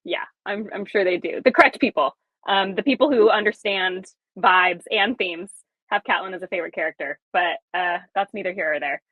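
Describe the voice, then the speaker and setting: A woman's voice: mid-range voice, a little fast, no echo. Wry woman on thin phone-like audio. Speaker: host. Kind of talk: casual fan chat.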